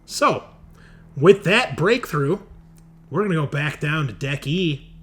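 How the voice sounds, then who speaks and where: A man running a tabletop horror game as game master, improvising narration in a halting, conversational way and thinking aloud. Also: a little high, expressive. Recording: noticeable background noise.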